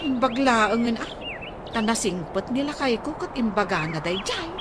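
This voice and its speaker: A woman with an Ilocano accent playing an insistent, long-suffering village creditor in a radio comedy drama, chiding in drawn-out phrases. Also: a little high, sing-song, background noise.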